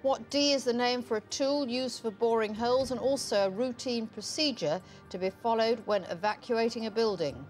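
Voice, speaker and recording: Woman quiz host, slightly high voice, curt and cutting, television studio, faint music behind. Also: moderate pace, hardly any pauses. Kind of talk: scripted quiz hosting.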